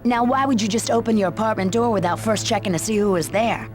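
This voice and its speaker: A woman's voice: medium pitch, quick pace, soft background music, lightly acted. Tough, probing female interrogator acted by a woman. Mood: probing, dry. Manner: pointed, needling questions. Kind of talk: scripted game dialogue.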